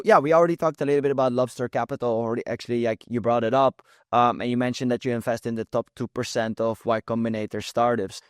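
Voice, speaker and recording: A man's voice: medium pitch, no echo, studio quality. A man from the Netherlands with a strong Dutch accent, hosting a podcast and talking casually with many fillers. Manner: filler-heavy, lots of "like" and "um". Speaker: podcast host. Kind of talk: casual interview talk.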